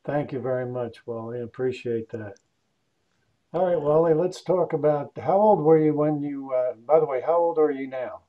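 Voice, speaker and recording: A man who runs oral history interviews, asking measured, polite questions over a video call. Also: a little high, narrow, tinny audio. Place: Moorestown, New Jersey.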